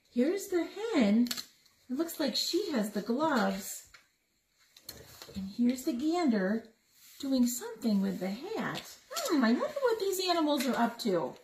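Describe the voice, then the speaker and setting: Female story time reader, high and animated, unhurried, engaging small children; echoey room. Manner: asks the young listeners questions, exclaims over pictures. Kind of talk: animated picture book read-aloud for young children. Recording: roomy echo with noticeable background noise.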